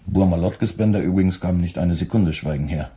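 A man's voice: deep voice, brisk, husky. A man playing a weary young radio editor who answers tersely and with dry sarcasm. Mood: tired. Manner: dry, terse, quietly sardonic.

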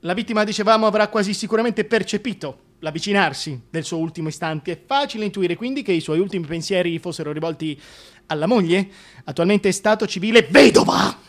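An actor playing a pompous, glib radio announcer in a mock-solemn, declamatory broadcast style. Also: male voice, sits high, a little fast, natural voice. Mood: mock solemnity.

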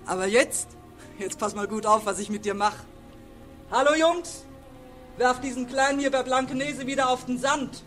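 Mocking, motherly old river woman, earthy and scolding, high-pitched, vintage radio play with faint music. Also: normal speed, a bit bright. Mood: commanding. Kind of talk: 1940s radio drama acting. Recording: vintage 1940s radio recording.

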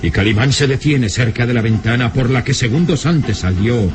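A man's voice: medium pitch, lively intonation, moderate pace, background noise, natural voice. A male actor as a solemn, dramatic storyteller, narrating an old radio serial in a theatrical, grandiloquent way.

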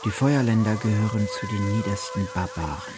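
An actor playing a brooding nineteenth-century naturalist recounting his voyage in measured, declamatory phrases for a radio drama. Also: lowish voice, music in the background, lightly acted. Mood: lecturing. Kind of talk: literary radio drama monologue over music.

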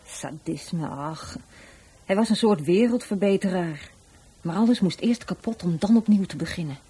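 A woman's voice: on the low side, expressive, normal speed, dark tone, husky. An actress playing a blunt, cynical woman in a radio drama, with a dry, nonchalant way of talking. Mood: dismissive. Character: blunt, offhand, cynical sister of a murder victim.

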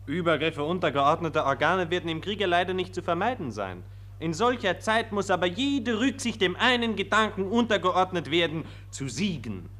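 Lofty optimist, high voice, earnest, declamatory, formal, vintage radio drama. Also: male voice, big pitch swings, moderate pace, pushed and bright, heavily acted. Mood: lofty conviction. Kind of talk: 1940s German radio play acting.

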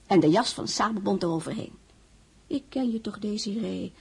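A woman's voice: medium pitch, normal speed, gravelly, husky, no room sound. An actress playing a devoted, blunt, grumbling older female servant and confidante in a radio drama. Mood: caring.

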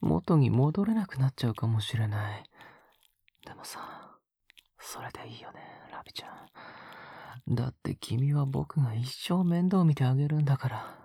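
A man playing an obsessive young captor in an intimate audio drama, coaxing in a husky whisper with sweetly condescending menace. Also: mid-range voice, expressive, no echo, full character voice. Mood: soft menace. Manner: slow, coaxing, condescendingly gentle.

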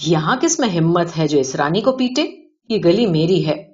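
A woman's voice: fairly low pitch, lively intonation, a little mellow, natural voice. Woman reading as a self-assured, boastful businessman, muffled. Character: boastful, generous, shady Sindhi businessman. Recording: dull, band-limited audio.

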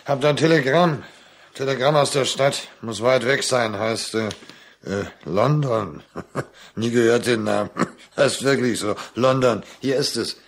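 Country postman, mid-pitched voice, easygoing, respectful, cautioning, radio drama. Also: unhurried. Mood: amused, friendly. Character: easygoing rural postal messenger, man, amused and cautioning. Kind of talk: German radio play acting.

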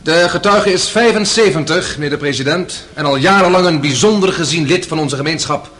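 An actor playing a respectful defence lawyer in a radio courtroom drama, courteous and declamatory. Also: male voice, on the high side, brisk, a bit bright, natural voice. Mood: courteous, formal.

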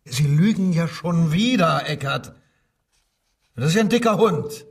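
An actor playing a bullying, sarcastic Nazi-era police commissioner interrogating a suspect in a radio crime drama, with a husky voice. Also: male voice, a little high, expressive, a little slow, recorded from a distance, exaggerated voice. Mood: angry, impatient. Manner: commanding, sneering, sarcastic.

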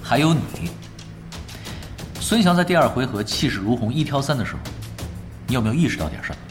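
A man's voice: medium pitch, very animated, brisk, close to an everyday voice. An actor playing an unruffled, blunt male esports veteran who lectures drily and with composure in a radio drama. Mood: stern, cutting. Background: background music.

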